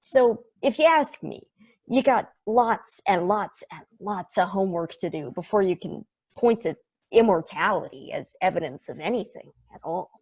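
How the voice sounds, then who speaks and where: A woman voices a sentient space probe with a husky, slightly rough voice at a middle pitch. The delivery is wry and salty, reasoning like an argumentative skeptic in a stage play read-through. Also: animated, moderate pace, darkish tone. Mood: musing, argumentative. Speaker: actor in a table read. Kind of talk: play table read, conversational monologue.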